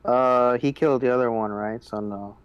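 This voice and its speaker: A man of middling pitch talks through game rules and moves casually. His voice is smooth and very even, with a matter-of-fact manner. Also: moderate pace, no room sound, highs cut off. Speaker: tabletop game player.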